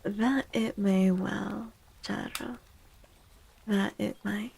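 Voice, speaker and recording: A woman streaming soft-spoken ASMR, chatting gently and playfully with viewers, often close to a whisper and repeating little phrases. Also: mid-range voice, steady tone, very slow. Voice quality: soft, breathy, husky, often near whisper. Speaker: ASMR streamer, tarot reader.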